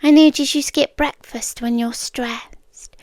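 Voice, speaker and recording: A young British yandere girlfriend, acted out by a woman in a close-miked ASMR roleplay. Her voice is high and very dry, with no room around it. She speaks slowly and deliberately, unnervingly calm and obsessive, often slipping toward a whisper. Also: no room sound. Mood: softly obsessive. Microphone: close microphone.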